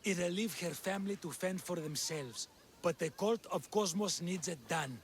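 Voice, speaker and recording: A man's voice: sits high, moderate pace, faint music behind, lightly acted. An actor playing a callous male slave master who belongs to a cult, with a scornful, dismissive manner.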